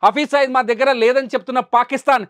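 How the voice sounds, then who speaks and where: A man's voice: very high-pitched, steady tone, fast, fairly bright tone, clear, resonant tone, no room sound. A man presenting news commentary emphatically, repeating phrases for rhetorical weight.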